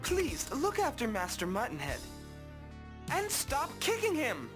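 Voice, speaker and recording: A woman's voice: sits high, wildly swooping pitch, measured pace, over loud music, heavily acted. A woman voicing an earnest, brave young apprentice hero in a video game, polite and resolute. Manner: earnest, formal. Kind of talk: video game cutscene acting.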